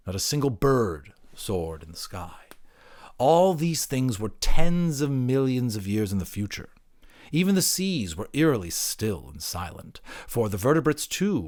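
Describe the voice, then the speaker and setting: Male audiobook reader, medium pitch, highly animated, theatrical storytelling delivery. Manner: theatrical, expressive. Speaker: audiobook narrator. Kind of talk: dramatic storybook narration.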